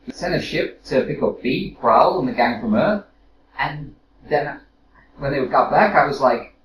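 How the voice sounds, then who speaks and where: An actor playing a casual, flustered robot soldier in an audio drama, hesitant and rambling, recorded roomily from a distance. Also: male voice, medium pitch, animated, measured pace, natural voice. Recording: roomy, distant mic with noise.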